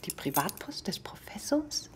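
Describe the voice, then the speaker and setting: An actress playing an outspoken, self-assured secretary in a radio drama, brisk and defiant. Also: female voice, mid-range voice, big pitch swings, whispered. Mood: conspiratorial, defiant. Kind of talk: naturalistic radio drama acting.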